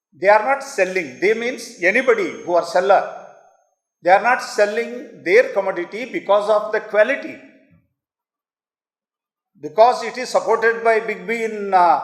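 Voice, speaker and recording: A man with an Indian English accent speaks in a fairly high voice, earnest and halting, pausing on fillers before he presses into emphatic lists. He is a native Kannada speaker. The room gives his voice a roomy echo. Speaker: speaker giving a talk. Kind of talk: public talk.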